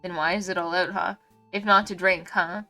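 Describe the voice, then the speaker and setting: A woman voicing a blunt, gruff young male swordsman who likes to needle. Her voice is a bit hoarse. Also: lowish voice, steady tone, moderate pace, bright, forceful tone, soft background music. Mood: needling. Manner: blunt, teasing.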